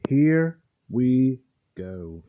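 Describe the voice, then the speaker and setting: A man's voice: mid-range voice, sing-song, very slow. Rambling man on a tinny, phone-like mic. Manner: loose, rambling, repeats himself. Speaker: host of a reaction channel. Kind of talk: casual reaction commentary. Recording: muffled, phone-like narrow sound.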